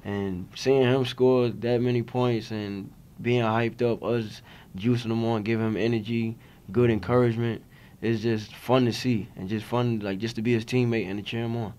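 A man's voice: mid-range voice, steady tone, moderate pace, darkish tone. A young man, a college basketball player, answering reporters' questions after a game at a press table. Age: young adult. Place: press conference room. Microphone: table mics in front of him.

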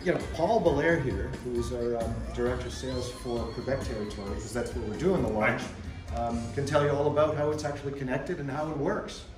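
A man's voice: medium pitch, steady tone, fairly quick. A man in his fifties giving an unscripted, conversational product presentation, explaining as he goes. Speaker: company representative presenting a product. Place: hotel conference room at a trade show. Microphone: camera mic a few steps away. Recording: roomy sound with background music.

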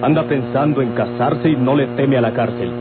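An actor playing a respectful, cautious young Mexican helper in a golden-age radio drama. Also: male voice, mid-range voice, normal speed, lightly acted. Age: young adult. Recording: old narrowband radio recording, loud hum.

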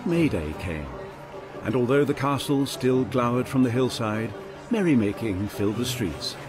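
An actor narrating a fantasy tale as storyteller in a scripted radio drama. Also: male voice, mid-range voice, lively intonation, normal speed, natural voice. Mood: storytelling. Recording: music under the voice. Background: music.

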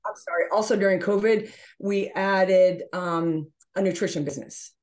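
A woman's voice: medium pitch, normal speed, darkish tone. A middle-aged woman giving explanatory answers as an interview guest on a video call. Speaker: interview guest, gym owner.

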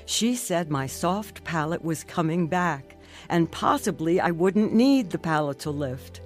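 A middle-aged wife in a radio drama, earnest and emotional. She speaks slowly, with music underneath. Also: on the low side, expressive. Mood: earnest, reflective. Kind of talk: radio drama narration and dialogue.

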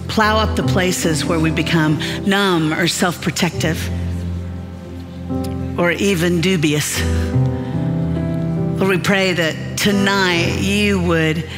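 A woman's voice: lowish voice, takes it slowly, long pauses. Woman preaching in a big hall. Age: 50s. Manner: animated, folksy, self-deprecating humor. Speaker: Bible teacher. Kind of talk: prayer and sermon storytelling. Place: large church stage with live audience. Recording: long hall reverb with soft music.

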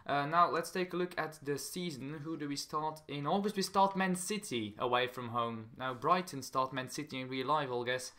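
A young man from Belgium with a Belgian accent, hosting a gaming channel with casual commentary in halting, non-native English that often repeats phrases. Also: on the high side, pushed and bright.

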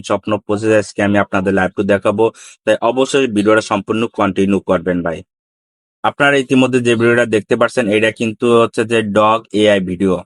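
A man's voice: fairly low pitch, no room sound. A man races through an informal step-by-step tutorial. He often repeats his phrases and addresses the viewer as brother. The sound is dry and close, with very little room around the voice. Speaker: tutorial host. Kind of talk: informal screen-recorded how-to tutorial.

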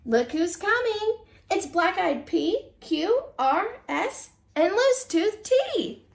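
A woman preschool teacher reads a picture book aloud in a very high voice. Her delivery is slow and sing-song, with big swoops of pitch. She exaggerates the storytelling for young children. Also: big pitch swings, vocal fry. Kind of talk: animated children's read-aloud. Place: small living room, seated on a couch.

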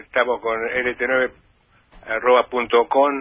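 A man's voice: medium pitch, little pitch movement, moderate pace, no echo. A man from Santa Fe with a provincial Argentine accent, a radio humorist chatting on the phone, rambling and repeating his words. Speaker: humorist and overnight radio presenter, interviewed by phone. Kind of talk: comic chat, telling jokes. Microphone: phone call. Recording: muffled, narrow phone line.

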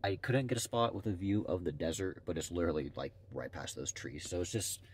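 A young man from Tennessee vlogs his road trip into a handheld camera, his voice sitting low and deep. He tells his stories eagerly, laughing and tripping over his words. Steady outdoor noise runs under everything he says. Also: fairly quick. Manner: chatty, excitable storytelling with frequent laughs and restarts. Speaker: travel vlogger. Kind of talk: casual solo travel vlog. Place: outdoors at a campsite and on sand dunes.

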